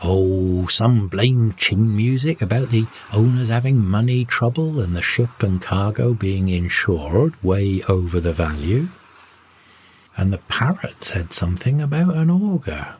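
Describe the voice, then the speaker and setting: A man's voice: fairly low pitch, lively intonation, unhurried, darkish tone, a little hiss or hum. A man voicing a salty first mate in a sea story, blunt and folksy, talking in sailor slang. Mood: embarrassed, confiding.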